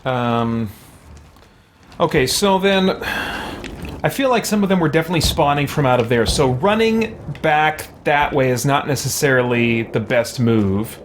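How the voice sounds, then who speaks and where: A man's voice: medium pitch, lively intonation, a little slow, slight background noise. A man giving casual video game commentary, thinking aloud and musing to himself with mild exclamations. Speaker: let's play host.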